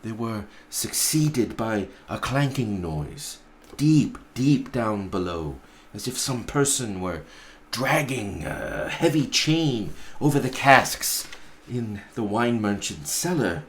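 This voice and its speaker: A man narrating a storybook aloud in a deliberate, theatrical way, pausing between phrases. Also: fairly low pitch, expressive, slight background noise. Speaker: pastor reading a story aloud.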